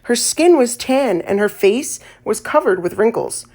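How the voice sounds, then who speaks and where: A woman reading a children's story aloud as an audiobook narrator, in an expressive storytelling manner. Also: a little high, unhurried.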